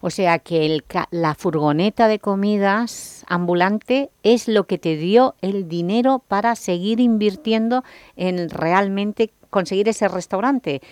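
A woman hosting a radio interview, asking brisk, inquisitive questions. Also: medium pitch, a little mellow, clean tone, no echo. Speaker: radio host.

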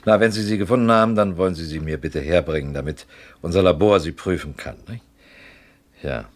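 Radio-play inspector, male, husky low voice, polite but needling;. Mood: probing. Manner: polite, slow, needling questions. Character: probing middle-aged male police inspector.

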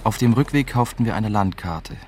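A man's voice: fairly low pitch, animated, moderate pace, background noise, natural voice. A man playing a reflective young journalist, narrating his story in a scripted radio drama.

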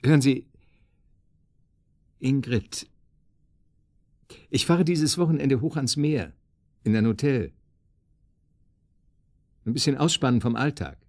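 A man's voice: medium pitch, lively intonation, slow pace, long pauses, a little mellow, no echo, clean studio sound. An actor playing an eager, flirtatious man courting a blind date in a radio drama, courteous and a little hesitant. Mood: flirtatious, coaxing. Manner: hesitant, chuckling, courteous, coaxing. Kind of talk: naturalistic radio drama acting, phone conversation.

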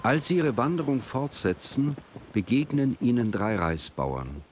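A man's voice: mid-range voice, very animated, a little slow, noisy background. A man reading scripted narration for a radio drama.

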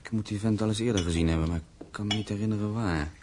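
An actor playing a cheerful, carefree young navy veteran and heir in a Dutch radio play, speaking with breezy confidence. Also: male voice, fairly low pitch, quick pace, background noise, natural voice. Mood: curious, relaxed.

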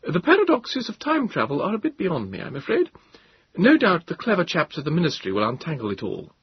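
A man voicing a brisk, commanding woman army captain in a storybook reading, speaking crisply and with authority. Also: on the high side, wildly swooping pitch, no echo, voice pushed up, full character voice. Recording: dull, dry lo-fi sound.

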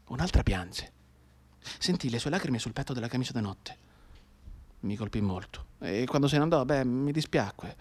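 Husky bedridden soldier, played by a man, intense. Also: medium pitch, natural voice. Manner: intense, soliloquising, dropping into whispers. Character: bedridden wounded young soldier, feverishly counting time. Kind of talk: theatrical radio drama monologue.

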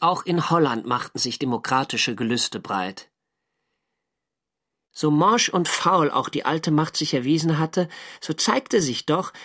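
An actor playing a reflective naval lieutenant, narrating a war diary in a measured, sober literary style. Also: male voice, fairly high pitch, a bit bright. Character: reflective German naval lieutenant recalling the war's end.

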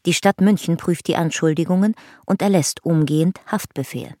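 An actress as a detached documentary narrator in a radio drama, giving clipped, factual narration. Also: female voice, fairly low pitch, moderate pace, mellow, no echo, studio quality, close to an everyday voice.

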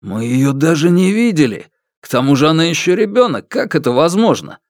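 A man narrator plays a shifty, defensive father of a household in an audiobook. He sounds indignant and evasive, with lively pitch in a dry, close recording. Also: fairly quick, no echo. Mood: dismissive. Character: defensive, shifty, callous family man under accusation. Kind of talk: audiobook character acting.

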